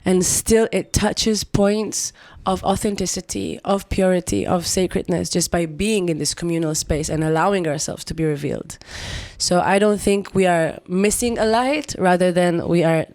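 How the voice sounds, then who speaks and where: A woman's voice: on the low side, moderate pace, hardly any pauses, darkish tone, dry recording. A woman, a singer-songwriter guest on a podcast, speaking expressively and searching for words. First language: Hebrew. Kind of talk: reflective podcast conversation.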